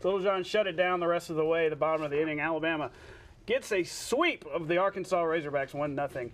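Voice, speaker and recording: A man anchoring a sportscast, reading scripted highlights and trading short banter with a co-anchor. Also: quick pace.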